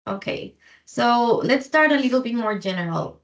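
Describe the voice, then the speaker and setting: A woman hosting a podcast, introducing the show and asking smooth, prepared questions in a friendly way. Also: on the high side, lively intonation, normal speed, highs cut off. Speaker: podcast host.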